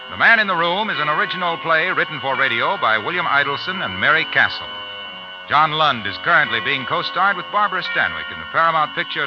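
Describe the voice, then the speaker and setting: Lively, high-pitched American radio announcer man. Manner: polished, emphatic delivery. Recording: dry, muffled old radio broadcast.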